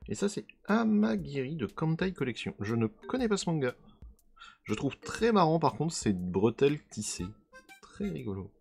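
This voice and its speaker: A hoarse, gravelly man talks through an unboxing in a loose, chatty way. His pitch jumps around a lot as he reacts, and he trails off while he searches for names. Soft guitar music plays underneath. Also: sing-song, moderate pace. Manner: musing, trails off hunting for names. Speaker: card unboxing video host. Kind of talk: casual unboxing commentary.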